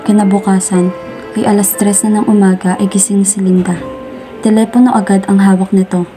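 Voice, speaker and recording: A woman's voice: mid-range voice, level tone, fairly quick. A woman with a Filipino accent narrating a scripted radio drama. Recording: music bed behind the voice.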